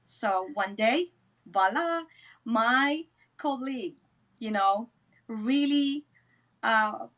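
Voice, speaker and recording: A woman's voice: on the high side, lively intonation, extremely slow, long pauses, fairly bright tone. A middle-aged woman from the Philippines with a Filipino accent, telling her personal story as an interview guest and repeating words for emphasis. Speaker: interview guest, network marketing business leader. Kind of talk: personal testimonial in an online interview.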